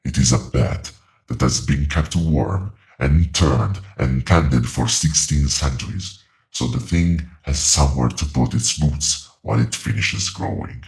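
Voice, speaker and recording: An ancient being from beyond speaks in a cavernously low register with heavy vocal fry. It delivers ominous horror-drama pronouncements slowly and deliberately, solemn, relentless and coldly knowing. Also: male voice. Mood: ominous, instructive. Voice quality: very deep, gravelly creaking voice. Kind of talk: horror audio drama monologue.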